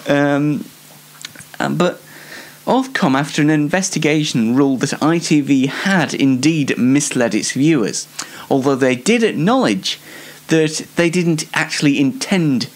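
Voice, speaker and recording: A young British man hosting a show on his own, giving a casual, rambling comedic monologue in a self-deprecating way. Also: fairly high pitch. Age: young adult. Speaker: solo host of an online talk show.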